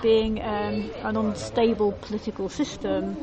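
A woman from Britain chatting casually and hesitantly, repeating words and pausing with fillers. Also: mid-range voice, flat delivery, dull audio. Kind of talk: casual chat. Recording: loud crowd noise behind.

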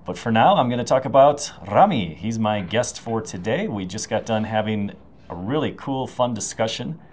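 A man's voice: fairly low pitch, moderate pace. Chatty male podcast host. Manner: relaxed, chatty, thinks aloud with fillers. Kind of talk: travel podcast interview, casual chat. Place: hostel in rural China.

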